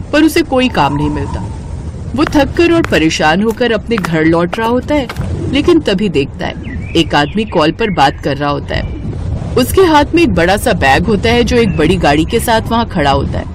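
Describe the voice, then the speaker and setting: A woman's voice: fairly low pitch, background noise. A woman reading a scripted story as its narrator.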